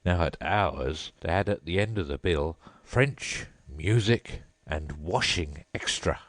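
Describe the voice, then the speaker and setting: A man voicing a melancholy, sobbing male sea creature in a storybook reading, slow and mournful. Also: sits low, animated, dry recording.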